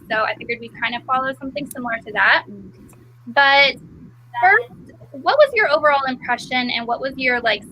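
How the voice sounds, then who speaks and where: Woman moderator, informal and conversational. High-pitched, crisp forward tone, background noise on a call recording. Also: sharp tone, rough recording. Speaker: host of book discussion live show. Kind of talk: casual moderated chat.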